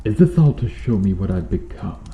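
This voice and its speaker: Man acting a deep, dark-voiced brooding medieval knight. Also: big pitch swings, normal speed. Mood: anguished. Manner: formal, solemn, archaic phrasing. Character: brooding, remorseful male medieval knight, sworn and protective. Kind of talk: ASMR roleplay, slow dramatic acting addressed to the listener. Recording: roomy echo, faint background noise.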